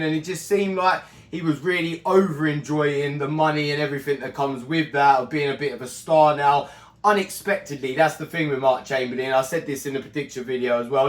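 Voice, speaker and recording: A man with a croaky, strained, hoarse voice hosting a casual boxing recap, rambling and correcting himself as he goes. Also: on the high side, echoey room. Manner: chatty, rambling, self-correcting. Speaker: boxing channel host. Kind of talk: casual fight recap monologue.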